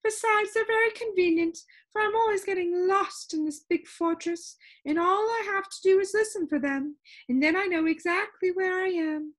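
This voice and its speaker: A woman's voice: very high-pitched, pitched above the performer's own voice, rough recording. A woman voicing a dotty, eager, talkative female keeper of a fortress of sounds in a storybook. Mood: chirpy, proud. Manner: chirpy, talkative, brisk and hospitable. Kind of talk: storybook character voice.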